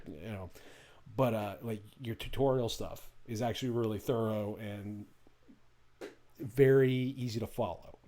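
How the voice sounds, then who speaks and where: Man, mid-range voice, casual chat, halting, lots of filler words. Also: medium pitch, warm tone.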